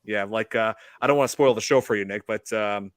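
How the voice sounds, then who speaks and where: A man's voice: lowish voice, fairly quick, dry recording. Rambling man hosting a podcast, dry sound. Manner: rambling, many fillers. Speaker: podcast host. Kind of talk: casual podcast chat.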